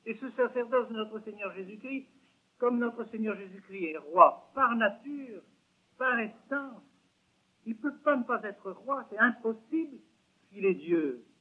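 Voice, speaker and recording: A man's voice: high-pitched, lively intonation, unhurried, slightly rough. An older man giving an emphatic religious lecture, with rhetorical questions and repeated words. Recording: tinny lo-fi 1970s lecture recording.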